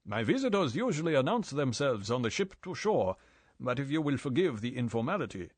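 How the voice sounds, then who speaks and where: A man's voice: medium pitch, sing-song, a little fast, dry recording, voice pushed up. A man voicing a suave yacht owner who speaks in a polite, formal and courteous way. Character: suave male yacht owner, smooth host.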